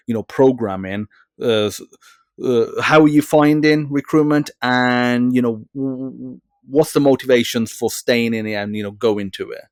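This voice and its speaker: A man's voice: medium pitch, a little mellow, clear, resonant tone, no room sound, clean studio sound. A man hosting a podcast, asking long, rambling questions full of "you know" fillers. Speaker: podcast host.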